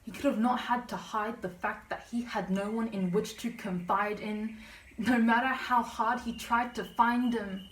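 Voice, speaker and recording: A woman's voice: on the high side, steady tone, unhurried, fairly bright tone, a little hiss or hum. A young woman student performing slam poetry with a dramatic, emphatic, rhythmic delivery. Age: young adult. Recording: distant, echoey room pickup.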